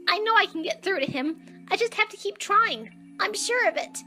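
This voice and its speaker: Woman voicing a very high, hopeful skeleton kid. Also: expressive, normal speed, bright, forceful tone, full character voice, poor audio. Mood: determined. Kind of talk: animated comic dub acting. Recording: played dub with music behind. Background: music.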